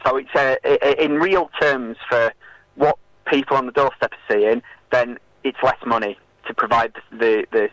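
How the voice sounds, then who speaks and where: Male activist guest for radio political interview; hesitant, stop-start delivery, middling pitch, very dry acoustic. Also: fairly even intonation, a bit bright, no echo, low-quality recording. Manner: hesitant, halting with many filled pauses.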